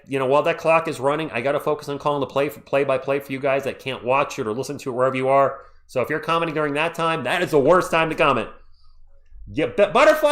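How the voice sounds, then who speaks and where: A man hosting a live stream, calling a basketball game play-by-play as an open fan of one team and thanking viewers in the chat. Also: a little high. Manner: excitable and partisan, reads viewer comments. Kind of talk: fan play-by-play commentary with chat shout-outs.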